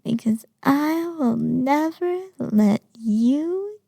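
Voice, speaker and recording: Woman acting obsessive young yandere kidnapper, higher-pitched, sweetly menacing, breathy murmur, ASMR roleplay, very dry sound. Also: expressive, very slow, warm tone, dry recording, heavily acted. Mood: possessive, doting. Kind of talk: intimate ASMR audio roleplay monologue.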